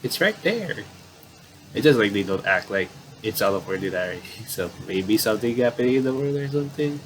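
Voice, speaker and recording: A man's voice: lowish voice, fast, background noise. A man giving casual let's play commentary and reading game text aloud, stumbling and restarting as he reads. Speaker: gaming commentator.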